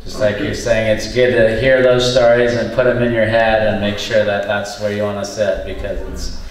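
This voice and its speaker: A man with a lowish voice gives an earnest, halting answer on a panel, full of fillers. He sounds far from the microphone in a very echoey hall. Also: flat delivery. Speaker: panelist, son of a surfer.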